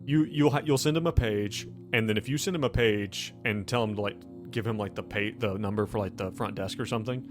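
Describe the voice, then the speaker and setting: A man's voice: lowish voice, a little fast, few pauses. Man running a tabletop game over music. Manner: informal, explanatory. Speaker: game master. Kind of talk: narrating a tabletop roleplaying game, conversational. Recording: music playing under the voice. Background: background music.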